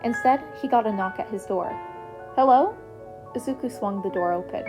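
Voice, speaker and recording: A woman's voice: medium pitch, fast talker, over music. A woman narrating a scripted story expressively, as a reader of fan fiction. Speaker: podfic narrator.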